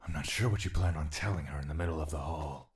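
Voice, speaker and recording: A man playing a very deep, wry confidant. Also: quick pace, husky, heavily acted. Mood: wry, teasing. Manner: dry, teasing. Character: wry, unimpressed male confidant of a prince. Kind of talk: audio drama dialogue.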